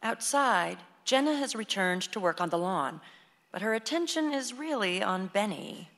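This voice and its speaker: A woman's voice: mid-range voice, expressive, normal speed, fairly bright tone. A woman reading scripted stage directions as narrator of a radio play. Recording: echoey hall with faint noise.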